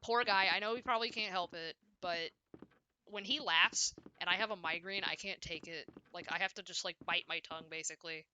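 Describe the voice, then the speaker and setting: A young woman chatting casually and loudly on a game livestream, rambling and often cutting herself off as she plays. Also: on the low side, a little fast, slight background noise. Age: young adult. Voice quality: loud voice. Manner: rambling, self-interrupting, frequent "like". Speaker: video game streamer. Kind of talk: casual livestream chat while playing.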